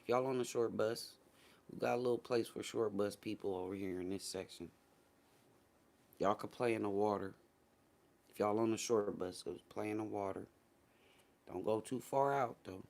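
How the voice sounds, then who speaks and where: A man's voice: on the low side, steady tone, normal speed, vocal fry, pauses often, a little mellow. A man hosting a livestream, giving casual political commentary to his chat in slangy, profane, conversational talk and repeating phrases for emphasis. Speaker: livestream host.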